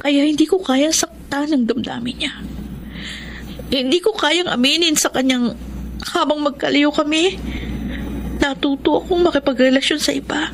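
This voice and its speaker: A woman's voice: high voice, slight background noise. An actress playing a guilt-ridden young woman torn in love, in an emotional, melodramatic radio drama style. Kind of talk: Tagalog radio drama acting, confessional narration. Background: loud music.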